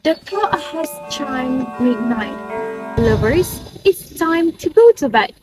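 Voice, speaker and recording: A woman's voice: high voice, expressive, slow pace, loud music behind the voice. A young woman in an amateur dramatic reading, playing stage characters who address the company in modernised Shakespeare. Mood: festive, announcing. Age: young adult.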